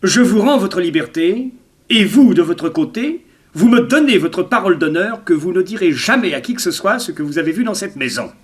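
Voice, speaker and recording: Suave gloating villain, high and swooping. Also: male voice, animated, normal speed. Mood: bargaining. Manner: suave, theatrical, mock-courteous, insistent. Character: smooth, gloating male villain, a blackmailing murderer. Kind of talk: 1960s French radio serial acting.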